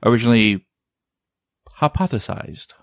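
A man's voice: mid-range voice, moderate pace, no echo, clean studio sound. A man hosting a casual chat, rambling and often searching for the right word.

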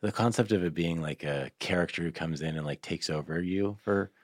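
A man's voice: low voice, brisk, dry recording, clean studio sound. A man hosting a casual podcast interview, talking informally and feeling his way through his questions. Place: studio.

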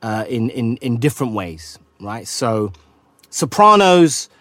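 A man with a middle-range voice chats casually with his co-host. He is agreeable and often chimes in with quick yeahs. The sound is dry and close. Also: mid-range voice, very animated, pushed and bright, no echo. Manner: agreeable, frequent yeahs and backchannels. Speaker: podcast co-host. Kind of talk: casual chat.

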